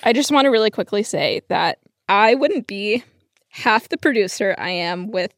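A woman's voice: medium pitch, animated, moderate pace, no room sound. Young female podcast producer, casual heartfelt delivery, mid-range voice, very dry recording. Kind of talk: casual, heartfelt studio chat.

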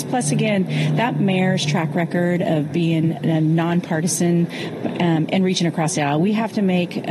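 A woman's voice: lowish voice, background noise. A woman speaking as a radio panel guest, listing her points with frequent ums.

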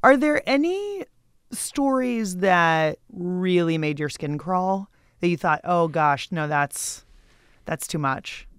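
A woman's voice: fairly low pitch, lively intonation, takes it slowly, smooth, no room sound, clean studio sound. A woman hosting a casual, conversational interview. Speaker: interviewer, podcast host.

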